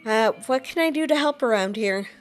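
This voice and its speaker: A woman's voice: fairly high pitch, lively intonation, moderate pace, soft background music. A young woman voicing her game character, asking questions hesitantly with fillers. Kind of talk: casual game voice-acting.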